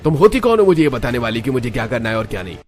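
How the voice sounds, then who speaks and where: A man's voice: medium pitch, big pitch swings, racing, soft background music, voice pushed up, natural voice. A man voicing an angry, selfish young husband who scolds indignantly in a cartoon story. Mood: angry, scolding. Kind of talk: Hindi cartoon story voice acting.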